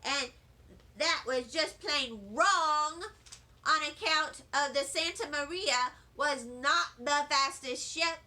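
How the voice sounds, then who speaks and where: A woman's voice: sits high, slow pace, pushed and bright. A woman reading a children's book aloud, narrating in a chatty, wide-eyed first-grader voice. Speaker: storybook reader.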